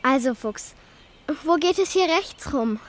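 Earnest little girl, high voice, direct, anxious yet brave, children's radio drama. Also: lively intonation, measured pace. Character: earnest, anxious, determined young girl on a rescue. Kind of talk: children's radio play acting.

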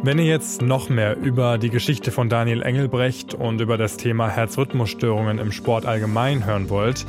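A man narrating a scripted podcast as its host and reporter, speaking into a close microphone. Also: fairly low pitch.

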